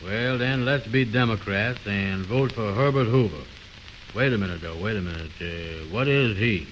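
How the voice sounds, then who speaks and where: A man's voice: lowish voice, normal speed, a bit bright, highs cut off, a little hiss or hum, lightly acted. A man playing a blustering, muddle-headed know-it-all in a 1920s radio comedy, with pompous, folksy, ungrammatical talk on an old recording. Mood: mulling.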